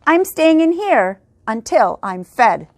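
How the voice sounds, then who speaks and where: A woman's voice: high voice, very animated, slow, dry recording, exaggerated voice. A woman voicing a grumpy, sleepy groundhog who refuses to leave his bed in a storybook reading. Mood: stubborn.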